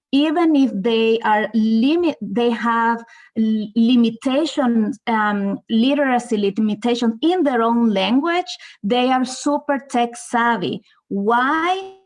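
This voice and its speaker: A woman from El Salvador with a Salvadoran Spanish accent, speaking earnestly and encouragingly on an online panel, with many fillers and restarts. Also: fairly high pitch. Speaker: multilingual services staff.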